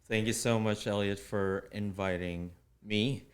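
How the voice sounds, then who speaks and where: A man with a Filipino accent, a native Tagalog speaker, explaining things earnestly as an interview guest in deliberate, formal phrasing. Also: fairly low pitch, steady tone. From Philippines. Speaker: interview guest, public speaking club officer.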